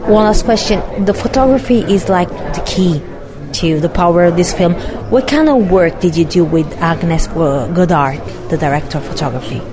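A woman interviewer asking measured, prepared questions about a film. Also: fairly low pitch, over music. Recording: crowd noise behind.

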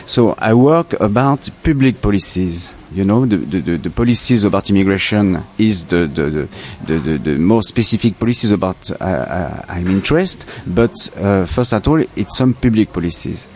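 A man from France with a French accent, a filmmaker answering interview questions in a halting, reflective way as he searches for words. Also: low-pitched, lively intonation, darkish tone, a little hiss or hum. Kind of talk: festival interview.

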